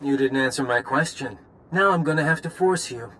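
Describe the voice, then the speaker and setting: A man's voice: mid-range voice, moderate pace, pushed and bright, lightly acted, low-quality recording. An actor playing a smug, coldly controlling male spy handler who taunts, in a video game. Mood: smug, menacing.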